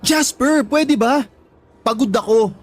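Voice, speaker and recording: A man's voice: extremely high pitch, slow pace, full character voice. A man playing a sullen, irritated younger brother in a radio drama, curt and cold. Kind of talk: Tagalog radio drama acting.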